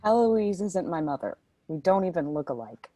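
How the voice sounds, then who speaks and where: A woman's voice: lowish voice, big pitch swings, moderate pace, mellow, dry recording. Woman reading sheltered teen girl, guarded and defiant, drama read, dry audio. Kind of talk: script table read.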